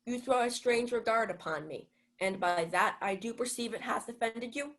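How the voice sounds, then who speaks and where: A woman's voice: a little high, moderate pace, fairly bright tone. A young woman playing a gallant, tender young man, speaking earnestly in a Shakespeare radio play. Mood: apologetic, tender. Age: young adult. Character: gallant, tender young man, long-lost twin brother.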